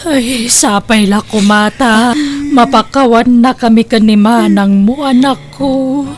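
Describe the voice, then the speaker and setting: A woman's voice: on the high side, slow pace. A woman with an Ilocano Filipino accent playing a scheming, guilt-ridden mother in an audio drama. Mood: apologetic. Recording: music under the voice.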